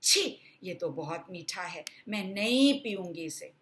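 A woman's voice: fairly low pitch, lively intonation, unhurried, fairly bright tone, pitched below the performer's own voice. A woman voicing a curious, fussy little girl in a storybook, exclaiming expressively. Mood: disgusted.

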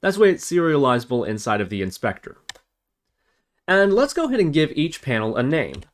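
A man's voice: on the high side, big pitch swings, brisk. Methodical man narrating a coding tutorial. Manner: methodical, step-by-step explaining. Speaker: tutorial host. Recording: noisy, with keyboard typing and clicks. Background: computer keyboard typing and mouse clicks.

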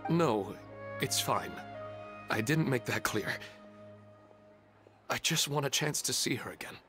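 An actor playing a polite, gentle widower from a village in a video game, courteous and a little hesitant. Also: male voice, medium pitch, expressive, measured pace, over music, natural voice. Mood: subdued, sad. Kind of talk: video game character acting.

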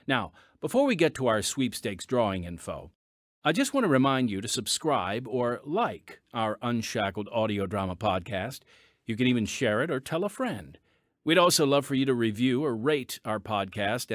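A man's voice: mid-range voice, dry recording, studio quality. A man hosting a podcast, reading scripted promotional narration and addressing listeners in a folksy way. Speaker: podcast host and announcer.

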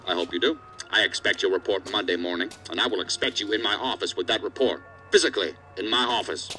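An actor playing an angry, stern assistant director in an audio drama, scolding and swearing. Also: male voice, fairly low pitch, quick pace, sharp tone, faint music behind. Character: angry, hard-bitten male assistant director of investigative agency.

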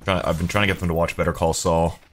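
A man's voice: low voice, level tone, fast talker. A young man giving casual, jokey gaming commentary, slangy and sweary, talking to himself and his viewers. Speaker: video game streamer.

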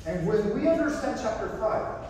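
Salvadoran missionary, young man, native Spanish speaker, high-pitched, animated preacher; distant camera audio in a reverberant hall. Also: moderate pace, slight background noise. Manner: animated storytelling, gesturing while pacing. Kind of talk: sermon. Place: large church fellowship hall. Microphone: far camera, no mic. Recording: echoey hall from far off.

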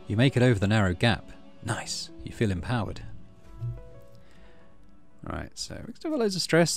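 A man hosting a gaming channel, low-key, casually commenting on play and reading story text aloud. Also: vocal fry.

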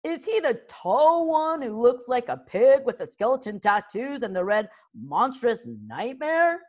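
Sly rival chieftain, mocking and drawn-out, storybook, woman doing it. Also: fairly high pitch, sing-song, muffled recording, voice pushed up.

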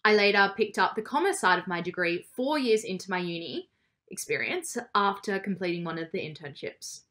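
A woman's voice: medium pitch, expressive, a little fast, fairly bright tone. A woman, a university student speaking earnestly about her studies into a webcam. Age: 20s. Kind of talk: casual interview answers. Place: bedroom.

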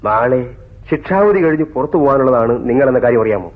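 A composed prison doctor played by a male actor in a radio drama, with a medium-pitched voice. He speaks in a measured, formal way with stern admonishment. The recording is slightly dull and lo-fi. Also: lively intonation, lightly acted. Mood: stern, admonishing. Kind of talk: theatrical radio drama acting.

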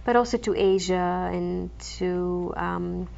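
A woman's voice: on the low side, fairly even intonation, slow, vocal fry, darkish tone. A young woman from Croatia, a classical guitarist, answering interview questions thoughtfully with frequent ums and restarts. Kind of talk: interview conversation. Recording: dull lo-fi TV recording.

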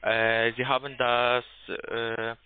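A man working as a call center agent, giving a halting, repetitive sales pitch as a non-native German speaker. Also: flat delivery, clean tone, no room sound. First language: not German. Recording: tinny narrow phone line.

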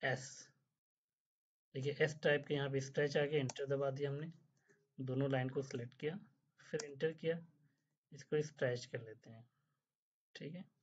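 A man teaching drafting software in a screen-recorded tutorial, explaining step by step, checking in often, and mixing English phrases into his Hindi. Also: medium pitch, fairly even intonation, normal speed, long pauses, dull audio, a little hiss or hum. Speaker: drafting instructor. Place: voice-over over screen capture.

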